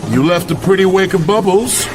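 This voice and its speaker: A man playing a grandiose, coolly gloating crime boss in a radio drama, with pompous, deliberate menace. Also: high-pitched, over music, lightly acted.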